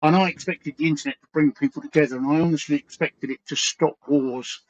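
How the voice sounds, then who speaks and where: A man's voice: fairly high pitch, fairly even intonation, fast. A man in his sixties with a London Cockney accent, hosting a casual livestream chat, earnest and rambling, repeating phrases as he thinks. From East London, England. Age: 60s. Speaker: livestream host. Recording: dull lo-fi stream audio.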